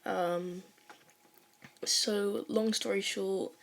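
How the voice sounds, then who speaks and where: A woman's voice: medium pitch, fairly even intonation, extremely slow, vocal fry. A young adult woman speaking informally as she introduces and reads her own fan fiction. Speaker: podfic author and reader. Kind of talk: casual spoken intro and fan fiction narration.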